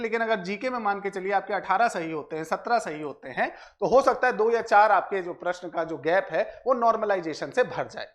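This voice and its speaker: A man's voice: high voice, fairly bright tone. A man presents exam analysis like a coach, addressing viewers directly with frequent filler phrases and check tags. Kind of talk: explanatory exam analysis for students.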